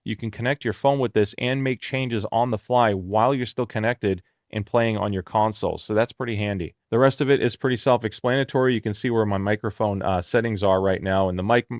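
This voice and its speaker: Low-voiced man reviewing tech gear. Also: fairly quick, hardly any pauses, no room sound, clean studio sound. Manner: casual explanatory, frequent fillers. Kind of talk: product review walkthrough. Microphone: headset microphone under test.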